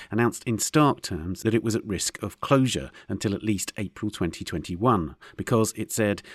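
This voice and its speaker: A British man hosting an arts podcast, speaking in an articulate, measured presenter's manner. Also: low-pitched, no echo, studio quality. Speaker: podcast host. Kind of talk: arts podcast interviewing and scripted news narration.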